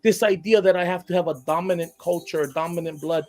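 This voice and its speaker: A man's voice: high-pitched, steady tone, fast, darkish tone. Earnest Hawaiian man on a live panel. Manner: earnest, builds to passionate emphasis. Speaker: panel guest.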